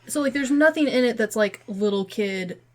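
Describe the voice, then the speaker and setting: A woman's voice: fairly high pitch, moderate pace, a little mellow. A woman co-hosting a podcast, chatting casually and informally about entertainment. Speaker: podcast co-host. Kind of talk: casual movie discussion.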